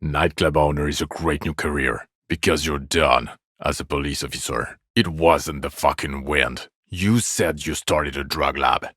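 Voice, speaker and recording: A bitter, sardonic police detective for a video game. His voice is extremely low yet forceful and sharp-edged. He is scornful and profane, recorded dry with no room sound. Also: a little slow, few pauses, no echo, full character voice. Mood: sarcastic, then bitter dismissal.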